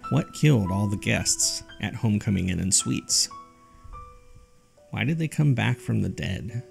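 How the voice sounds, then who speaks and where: A man's voice: on the low side, lively intonation, measured pace, gravelly. A man reading scripted narration as the host of a horror fiction podcast. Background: background music.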